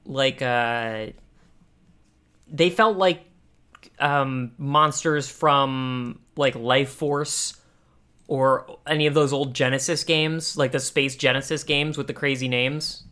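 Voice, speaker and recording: A man chatting casually as a podcast co-host reviewing a cartoon, rephrasing his thoughts haltingly as he goes. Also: medium pitch, steady tone, bright, forceful tone, clean tone.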